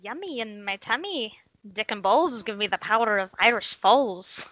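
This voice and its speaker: A man's voice: high-pitched, lively intonation, normal speed, bright, forceful tone, no echo, muffled recording, pitched above the performer's own voice. A man voicing a crude, greedy leprechaun who talks in cocky sing-song rhymes for a comedy story. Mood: smug, irritated. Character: crude, greedy, rhyming leprechaun hungry for gold.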